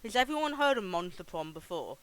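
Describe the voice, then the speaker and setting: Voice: mid-range voice, big pitch swings, a little fast, fairly bright tone, clean tone, poor audio. A game streamer chatting casually and informally to viewers, with a sweary, chatty manner. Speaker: live streamer hosting a game playthrough. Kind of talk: casual gaming stream chat with some reading aloud of game text. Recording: music behind the voice. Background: music.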